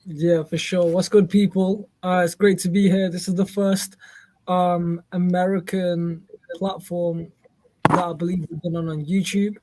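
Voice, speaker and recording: A man from Indonesia, a guest introducing himself in a casual interview, relaxed and filling pauses with ums. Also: high voice, slight background noise, low-quality recording. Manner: relaxed, many ums, talks in a steady flow. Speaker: guest, Web3 company founder.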